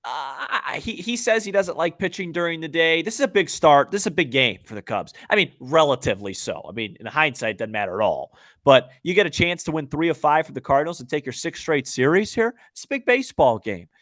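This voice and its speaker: A man's voice: a little high, animated, a little fast, few pauses, fairly bright tone, dry recording, studio quality. A man co-hosting a sports podcast in casual talk, with choppy, conversational phrasing that often repeats words.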